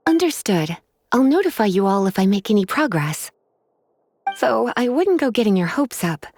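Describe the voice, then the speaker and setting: A woman playing a languid, teasing librarian mage in a game cutscene, unhurried and sultry in manner. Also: mid-range voice, big pitch swings, a little mellow, a little hiss or hum.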